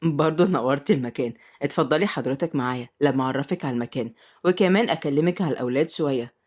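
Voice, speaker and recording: A polite, kindly uncle, put on by a woman reader, gentle and courteous in a storybook drama. The voice drops low and soft, with measured manners. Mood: welcoming. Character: courteous, soft-spoken adult man, kind devoted uncle.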